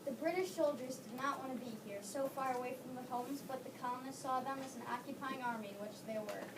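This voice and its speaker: A woman's voice: high-pitched, fast talker. Echoey distant woman narrating. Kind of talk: readers theater narration. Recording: echoey room, distant mic, loud noise.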